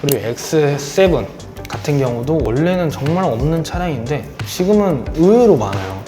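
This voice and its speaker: A man who sells cars delivers a courteous, persuasive pitch, keeping his voice low because his throat is sore. His speech bounces around a roomy space. A music bed runs clearly underneath. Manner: polite, persuasive salesman's patter. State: sore throat, speaking lower than usual. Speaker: car dealer. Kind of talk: sales pitch explaining monthly car promotions. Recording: roomy echo with background music.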